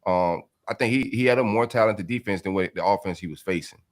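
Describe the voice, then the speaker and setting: A man's voice: low voice, quick pace. A man co-hosting a casual sports talk show, pushing his co-host with blunt, slangy questions.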